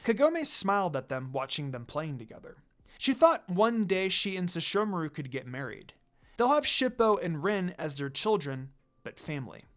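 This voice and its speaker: A man reading a fan fiction story aloud in an expressive narrating style. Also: high-pitched, normal speed. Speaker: fan fiction reader, channel host. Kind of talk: expressive scripted story narration with casual asides. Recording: music under the voice. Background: music.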